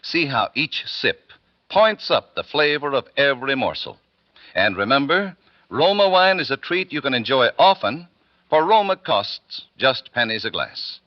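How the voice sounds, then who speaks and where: A man announcing a 1940s radio commercial in a polished, deliberate sales manner on a muffled old broadcast, with music behind. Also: medium pitch, very animated, no room sound.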